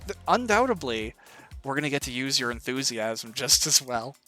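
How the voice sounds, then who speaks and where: A man's voice: medium pitch, sing-song, normal speed, pushed and bright, faint music behind. A man hosting a casual interview, talking in an encouraging and reflective way. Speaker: interview host and author.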